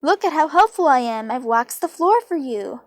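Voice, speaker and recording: A woman's voice: sits high, expressive, normal speed, no room sound, clean studio sound. A woman voicing a mocking male chimera trickster villain, taunting and mock-polite, in an amateur story reading. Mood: smug, mocking.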